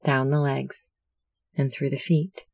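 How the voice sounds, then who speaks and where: Slow, mellow, softly creaky meditation guide. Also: mid-range voice, level tone, no room sound, clean studio sound. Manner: measured, soothing instructional delivery.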